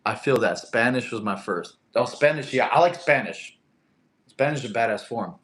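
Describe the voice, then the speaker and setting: A man's voice: medium pitch, animated, moderate pace, fairly bright tone. A man in his thirties whose first language is Russian, casually chatting on a livestream, reading comments and laughing often. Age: 30s. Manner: laid-back, chatty, laughs often, talks to his cat. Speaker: livestream host. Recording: echoey room.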